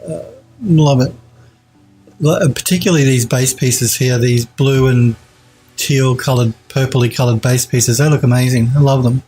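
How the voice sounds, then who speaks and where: A man's voice: medium pitch, steady tone, normal speed, darkish tone, faint music behind, poor audio. A man in his forties with a head cold that makes him sound a bit nasal, giving an enthusiastic casual critique of 3D art on a live stream at home. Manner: enthusiastic, repeats praise, restarts. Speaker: 3D art streamer reviewing viewer work. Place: home streaming room, indoors.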